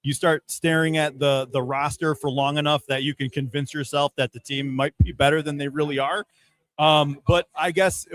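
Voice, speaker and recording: A man giving casual, measured sports analysis as a podcast guest, hedging his points as he goes. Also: on the high side.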